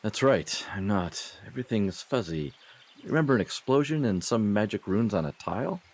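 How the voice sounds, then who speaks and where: Low husky man, lively intonation. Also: normal speed. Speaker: podcast performer playing himself in a comedy skit. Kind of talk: comedic audio drama acting.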